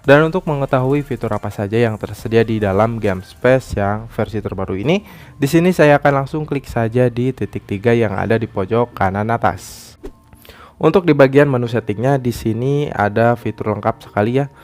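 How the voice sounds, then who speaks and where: A man's voice: medium pitch, moderate pace, a little mellow. A man hosting a phone app tutorial, explaining step by step in repetitive phrasing. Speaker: tutorial channel host. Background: background music.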